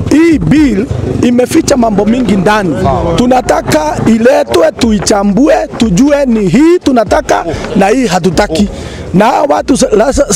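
A Kenyan man speaking insistently at an outdoor protest into a handheld mic. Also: very high-pitched. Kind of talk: street protest statement. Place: outdoor street protest in a crowd. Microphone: handheld mic held up to him.

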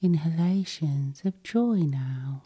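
A woman speaks as a calming sleep hypnosis guide. Her voice is low and extremely soft-edged, with a breathy, whispery texture. She goes along very slowly and gently, ASMR style. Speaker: hypnosis session host.

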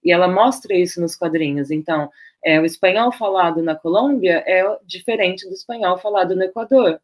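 Brief: Brazilian woman presenting research from home over a webcam, unhurried, hesitant and searching for words. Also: on the low side. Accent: Brazilian Portuguese. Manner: loose, searching delivery with many fillers and restarts. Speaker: guest speaker. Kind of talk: academic talk over slides. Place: home room, on a video call.

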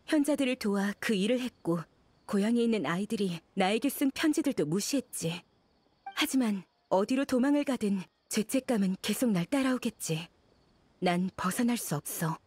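A woman's voice: mid-range voice, lively intonation, moderate pace, darkish tone, breathy edge, dry recording. A woman playing an anxious, guilt-ridden scholar who speaks in a guarded, urgent way in a game drama. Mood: resigned.

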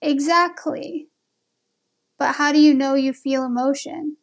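Woman, very high voice, casual video host, conversational questioning delivery. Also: steady tone, unhurried. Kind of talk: casual conversation with an AI companion on a phone call.

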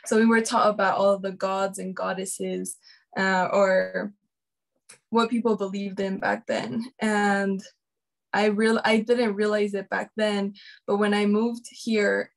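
A woman from Mexico City talks casually over a video call. Her voice stays flat in pitch and has a gravelly fry to it. She chats easily and slips between Spanish and English. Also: slow. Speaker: exhibiting artist.

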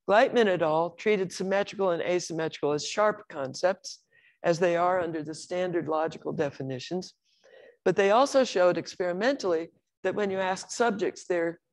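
Older woman, academic lecturer, measured, explanatory, occasional restarts. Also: on the low side, darkish tone.

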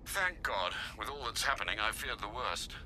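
A man's voice: fairly low pitch, lively intonation, normal speed, pushed and bright, slight background noise, low-quality recording. A man playing a dutiful, concerned older butler in a video game, speaking formally and politely. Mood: concerned, businesslike. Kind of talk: video game drama acting.

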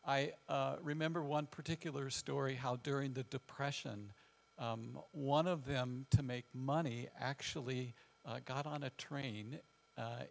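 Older male guest speaker, Midwestern US accent, gravelly with vocal fry, thoughtful pauses, telling personal stories. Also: mid-range voice. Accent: American Midwestern. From west central Illinois, United States. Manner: halting, reflective, with frequent pauses. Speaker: guest speaker, family counselor. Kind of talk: informal presentation with personal storytelling. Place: large church hall with wooden floor, small seated audience.

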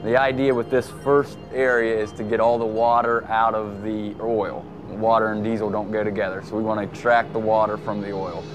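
Young man, plant tour guide, plain explanation, low voice, indoors, music behind. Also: little pitch movement, brisk, hardly any pauses. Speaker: plant worker giving a tour. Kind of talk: casual explanation. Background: background music.

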